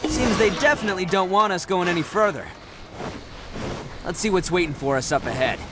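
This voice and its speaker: A cocky young gang boss from a video game, boisterous and slangy. His pitch swings in a very lively way, and loud music plays underneath. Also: a little fast. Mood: alert, curious. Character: brash, boastful, good-hearted young male gang boss. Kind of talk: animated video game voice acting.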